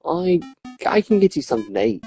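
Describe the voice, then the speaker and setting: A man's voice: fairly high pitch, very animated, a little fast, a little mellow, soft background music, voice pushed up. A man voicing a shy, lonely, apologetic game character who stammers and speaks in a put-on accent. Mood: awkward. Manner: hesitant, stammering, self-effacing. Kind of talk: reading game dialogue aloud.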